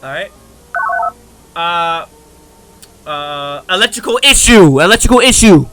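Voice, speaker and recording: A man hosting a reaction video with casual, slangy, excitable commentary. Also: on the high side, a little slow. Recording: loud music behind the voice. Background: loud music.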